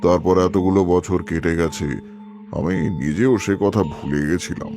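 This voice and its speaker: Man doing serious tantrik priest telling a cartoon ghost story, deep voice, solemn n measured, music behind. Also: fairly even intonation, natural voice. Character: grave male tantric priest narrating a ghost tale. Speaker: narrator and occult priest. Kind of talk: scripted storytelling, animated horror folktale.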